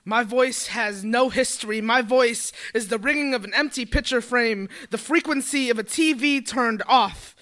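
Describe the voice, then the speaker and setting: A young male poet performs spoken word in a high voice. His tone is bright with a slight edge of tension. He moves through numbered verses in an earnest, measured recitation.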